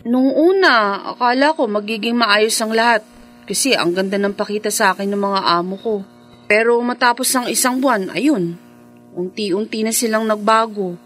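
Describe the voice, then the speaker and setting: A woman's voice: medium pitch, normal speed, a bit bright, faint music behind, natural voice. A woman with a Filipino accent playing an earnest, hopeful migrant housemaid in a radio drama, speaking expressively and conversationally. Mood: resigned, reassuring. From Philippines. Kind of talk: classic radio drama acting.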